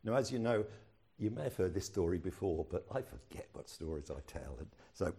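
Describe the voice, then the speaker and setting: An older man gives a sermon in a low, mellow voice. He talks to the congregation in a loose, conversational way and drops in wry jokes at his own expense. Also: lively intonation, brisk, vocal fry, slightly rough. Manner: conversational storytelling with self-deprecating humor. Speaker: pastor.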